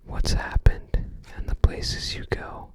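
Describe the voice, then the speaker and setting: Soft whispering ASMR voice. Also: takes it slowly. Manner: hesitant, rambling recollection in short phrases. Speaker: ASMR creator. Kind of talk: whispered ASMR book browsing.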